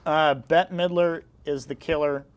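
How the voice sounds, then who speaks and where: A man's voice: fairly high pitch, fairly even intonation, unhurried. Wry man chatting about entertainment. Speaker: interview guest, arts and entertainment editor. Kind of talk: casual film chat.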